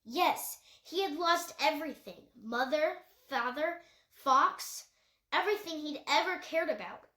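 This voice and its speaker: Lady reading a storybook out loud, highish voice, small slips here and there, roomy echo. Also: lively intonation, unhurried. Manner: occasional stumbles and self-corrections. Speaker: audiobook reader. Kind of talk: scripted story narration. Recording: echoey room.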